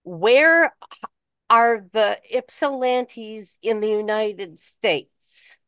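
A woman's voice: on the high side, animated, extremely slow, clear, resonant tone, no echo. A woman chatting casually in a conversational way, like a caller on a talk show. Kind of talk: casual chat. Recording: dull, slightly muffled phone audio.

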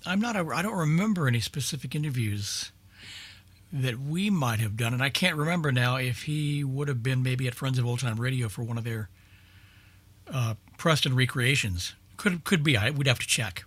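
A man with a husky voice chatting casually in a group, reminiscing in a halting way. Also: medium pitch, fairly bright tone, dry recording. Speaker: radio show panelist. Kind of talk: casual group chat about old-time radio.

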